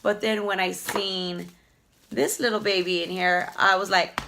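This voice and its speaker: A woman beauty vlogger chatting casually through an unboxing, hoarse from a lingering cough, rambling fondly about each item. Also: mid-range voice, steady tone, unhurried, sharp tone, a little hiss or hum. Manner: chatty, fond, rambling product talk with fillers. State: hoarse, losing her voice from a cough.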